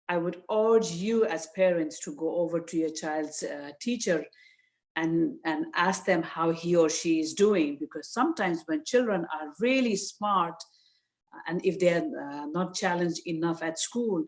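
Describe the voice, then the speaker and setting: An older woman from Nepal with a Nepali accent, giving informal, earnest advice as a guest speaker, with frequent hesitant pauses. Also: deep voice. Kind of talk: informal advice talk to parents. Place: virtual session over video call.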